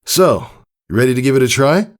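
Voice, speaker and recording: A caring father pegasus from a storybook, acted out by a man, patiently coaching his child. His delivery is earnest and instructive, with pitch that moves freely and sits above the reader's own low register. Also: lively intonation, a little fast, natural voice. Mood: encouraging. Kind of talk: dramatized storybook character reading.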